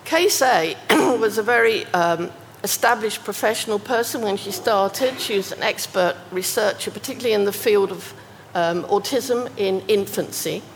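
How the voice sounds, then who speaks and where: A woman's voice: medium pitch, a little hiss or hum. Woman presenting in a reverberant hall. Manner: measured, reading quoted interview passages aloud. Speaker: academic presenter. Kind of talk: conference talk on research case studies.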